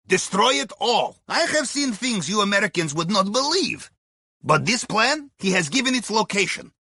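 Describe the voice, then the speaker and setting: An actor playing a wry, upbeat Russian submarine heist planner in a video game, with a raspy voice, a Russian accent and clipped broken English delivered with deadpan humor. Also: male voice, sits high, normal speed, no echo, studio quality, heavily acted. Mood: businesslike, helpful. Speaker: mission guide character in a video game. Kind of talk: scripted video game mission dialogue.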